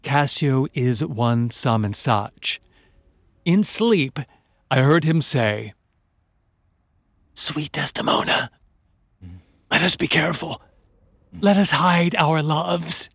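An actor playing a scheming soldier who feigns loyalty, with a measured, insinuating delivery of classical verse in a radio play. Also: male voice, on the high side, lively intonation, a bit bright, dry recording, exaggerated voice. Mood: feigned reluctance.

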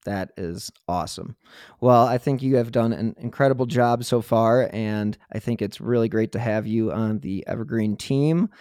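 A man's voice: on the low side, moderate pace, darkish tone, no echo, clean studio sound. A man hosting a podcast, talking in a conversational interviewing style. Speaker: podcast host. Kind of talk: conversational interview and show sign-off.

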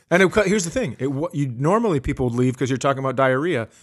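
A middle-aged man hosting a comedy podcast in casual chat, telling stories with an amused, ready-to-laugh manner. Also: medium pitch.

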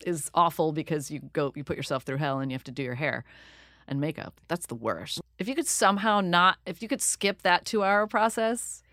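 A woman's voice: on the low side, brisk, dry recording, studio quality. A woman in her forties, an actor and guest, chatting casually and jokingly about her work in an interview. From Detroit and Turin. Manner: animated, jokey, acts out quoted voices.

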